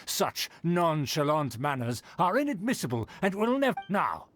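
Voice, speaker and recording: A man's voice: on the high side, very animated, measured pace, a bit bright, slight background noise. A man playing a haughty, indignant nobleman in a video game, speaking in a pompous, formal, disdainful manner. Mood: haughty, scornful. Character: haughty, indignant male aristocrat of a declining noble clan. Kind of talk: scripted video game character acting.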